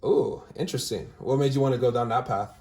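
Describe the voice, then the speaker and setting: Casual young male recruiter, upbeat tutorial delivery, lots of "all right?", mid pitch, echoey room. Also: fast, darkish tone. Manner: upbeat, filler-heavy, says "all right?" often. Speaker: recruiting manager demonstrating callbacks. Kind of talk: casual screen-share walkthrough, then phone interview. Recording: roomy echo.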